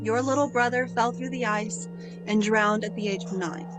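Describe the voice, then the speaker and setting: A woman playing a gentle, patient older male guardian angel who speaks calmly and solemnly. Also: fairly high pitch, over loud music, lightly acted, rough recording. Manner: calm, measured, solemn.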